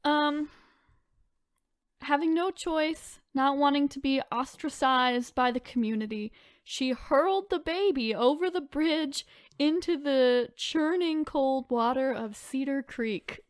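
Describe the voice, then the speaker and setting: A woman's voice: sits high, slow, dry recording, clean studio sound. A woman hosting a podcast, chatting informally and reading spooky stories aloud with casual asides. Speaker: podcast host.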